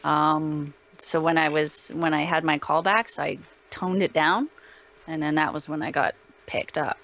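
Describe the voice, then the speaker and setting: A woman's voice: sits low, unhurried, a bit bright. A woman speaking casually as an interview guest.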